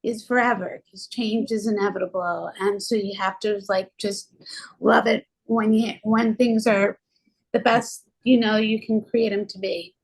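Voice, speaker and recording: A woman with a raspy, rough voice hosting a podcast, talking in a rambling, affectionate way with frequent restarts. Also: medium pitch, steady tone, unhurried, dry recording, low-quality recording. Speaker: podcast host. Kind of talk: casual conversational podcast chat.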